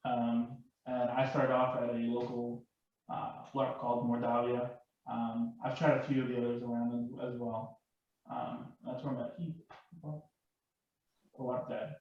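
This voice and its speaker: A man's voice: medium pitch, flat delivery, unhurried, muffled recording. Distant male guest, very echoey room. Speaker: panel guest. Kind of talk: casual self-introduction. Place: indoor room shared with others.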